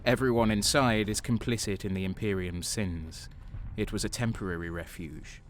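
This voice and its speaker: An actor playing a bitter, sardonic renegade soldier, narrating and speaking in a dark sci-fi audio drama with a brooding, cynical manner. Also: male voice, on the low side, normal speed, a little hiss or hum, natural voice. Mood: grim narration.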